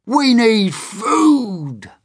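Storybook centipede, high and shrill, loud and jolly. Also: male voice, very slow, breathy edge, dry recording, clean studio sound, heavily acted. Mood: alarmed. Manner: shrill and excitable.

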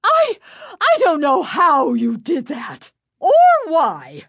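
A woman voicing an indignant female music teacher in a storybook, shouting and sputtering in outrage. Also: high voice, wildly swooping pitch, takes it slowly, dry recording, clean studio sound, voice pushed up, exaggerated voice. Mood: furious.

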